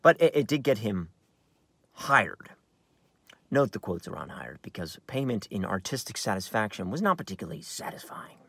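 An American actor in his thirties playing a bitter, wounded screenwriter in a theatrical, halting dramatic monologue. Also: lowish voice, very animated, gravelly, slightly rough, dry recording, exaggerated voice. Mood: rueful, bitter. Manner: theatrical, halting, shifting from hushed to outbursts. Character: bitter, wounded screenwriter brooding over his twin brother. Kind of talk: dramatic monologue in a staged reading, on book. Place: inside a parked car.

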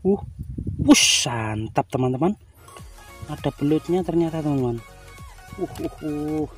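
A man's voice: on the high side, lively intonation, a little slow, soft background music. A man talking casually to viewers while fishing outdoors, with frequent exclamations, recorded on a body-worn camera. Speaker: fishing vlogger. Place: outdoors by muddy rice field water. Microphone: body-worn action camera.

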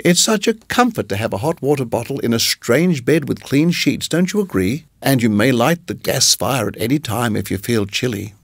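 A man's voice: medium pitch, big pitch swings, normal speed, vocal fry, few pauses, slightly rough, no echo, full character voice. A syrupy, fussy middle-aged landlady, as a male storyteller raises his voice for her. She coos every line in a lilting, overly pleasant way that never quite settles. The sound is dry and close. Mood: cooing sweetness. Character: sweetly unsettling middle-aged landlady woman.

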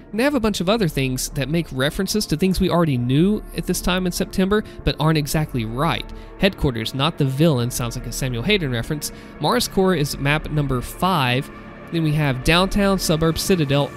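A man hosting a gaming video, reading and commenting in a deliberate, theatrical narrator style. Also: on the high side.

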